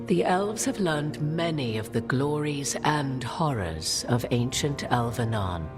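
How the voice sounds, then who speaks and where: A worldly-wise witch from a fantasy game, regal and knowing. Her phrases are archaic and eloquent, rising and falling in animated swoops over a bed of music. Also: sits low, exaggerated voice. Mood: solemn. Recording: music under the voice.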